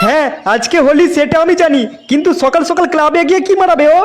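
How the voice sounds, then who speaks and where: A cartoon village man, bossy and crude, with a startlingly high voice. He blusters and teases in exaggerated comedy style, with music playing behind him. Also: fast. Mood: irritated, bossy.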